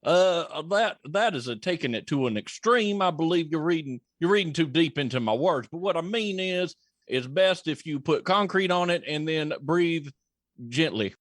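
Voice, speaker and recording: Folksy blue-collar contractor played by a man, higher-pitched, halting and stubborn, comic sketch, dry recording. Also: expressive, fairly bright tone, no echo. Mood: folksy, defensive. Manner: rambling, halting, homespun. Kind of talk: comic mock news interview.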